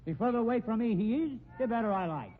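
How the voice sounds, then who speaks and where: An actor playing a proud, jealous circus sword swallower with an indignant, blustering manner, in 1950s radio drama style. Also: male voice, very high voice, animated, quick pace. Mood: scornful bluster. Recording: tinny, hissy old radio recording.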